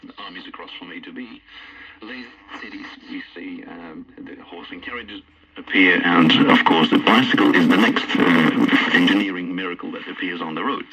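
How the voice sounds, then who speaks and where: Guy talking on the radio, lowish but bright voice, coming out of a radio speaker. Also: normal speed, poor audio. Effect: played through a radio speaker. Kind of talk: radio talk.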